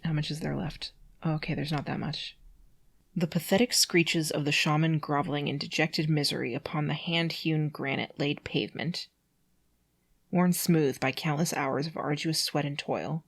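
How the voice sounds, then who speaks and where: A woman's voice: low voice, creaky, slightly rough. A woman reading a text aloud carefully, breaking off for dry, sarcastic commentary. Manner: dry sarcastic asides between passages; careful, deliberate reading of awkward prose. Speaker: reader and host.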